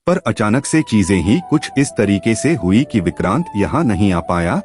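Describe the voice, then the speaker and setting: A man narrates a scripted story in a fairly low voice. His delivery is dramatic and very lively in pitch, swinging up and down as he reads. Soft music plays behind him. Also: moderate pace. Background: background music.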